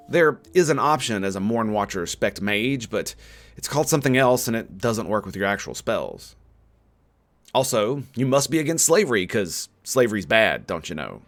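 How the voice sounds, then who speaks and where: A man narrating a scripted video game critique in an opinionated, sardonic manner. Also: medium pitch. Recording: music under the voice. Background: background music.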